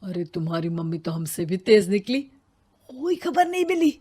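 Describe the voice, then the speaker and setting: A woman voicing an easygoing, playful middle-aged father in an animated family story. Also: mid-range voice, normal speed, husky, soft background music, voice pushed down. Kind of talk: animated moral story acting.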